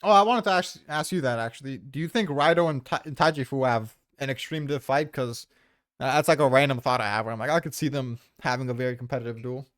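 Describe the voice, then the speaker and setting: A man's voice: medium pitch, fast, fairly bright tone, no echo, clean studio sound. A man defending his opinions in a casual debate, informal and prone to swearing. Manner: informal, profane. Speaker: tier list maker defending placements. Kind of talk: casual debate about manga character rankings.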